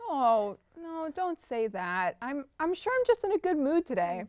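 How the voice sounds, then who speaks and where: A woman playing an earnest, anxious artist in an audio drama, talking in a casual, halting way. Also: high voice, very animated, darkish tone, no room sound. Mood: placating, candid. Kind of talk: naturalistic audio drama acting.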